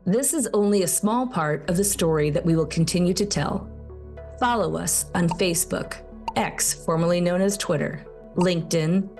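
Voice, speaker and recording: Woman doing a scripted advocacy voice-over, lowish voice, measured and formal, soft music under it. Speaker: narrator for an advocacy group.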